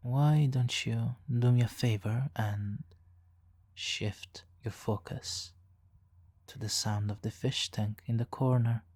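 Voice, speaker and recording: A man playing a smug, flirtatious supervillain in an ASMR roleplay, teasing and mock-polite, with savoring pauses. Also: medium pitch, steady tone, a little mellow, no room sound. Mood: hypnotic, commanding. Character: smug, flirtatious male supervillain toying with a captured hero. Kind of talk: ASMR roleplay, slow intimate monologue. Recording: faint background noise.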